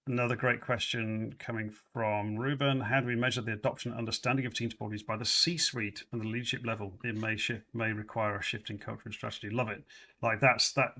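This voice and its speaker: A man hosts a livestream in easy, casual chat. His voice has a bright, forceful edge. He talks loosely and repeats words as he thinks aloud. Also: mid-range voice, steady tone, fast. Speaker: livestream host.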